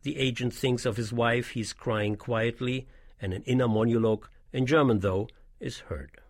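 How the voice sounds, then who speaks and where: A man's voice: medium pitch, steady tone, normal speed, dry recording, studio quality. A man narrating an audio tour in a scripted, explanatory style.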